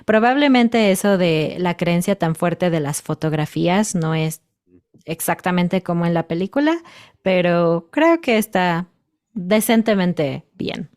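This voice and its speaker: A woman's voice: on the low side, lively intonation. A woman in her thirties with a Mexican Spanish accent, a guest chatting thoughtfully in an interview. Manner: thoughtful, measured, with soft laughs. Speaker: podcast guest, Spanish teacher. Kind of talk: casual interview chat.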